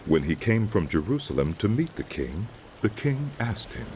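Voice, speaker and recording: A man narrating a dramatized Bible as a scripted, measured storyteller. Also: lowish voice, big pitch swings, darkish tone, a little hiss or hum.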